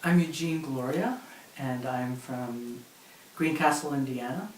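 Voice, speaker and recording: Echoey, halting man, far from mic. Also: mid-range voice. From born in Philippines, grew up in San Francisco. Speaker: poet. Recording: echoey, distant mic, faint noise.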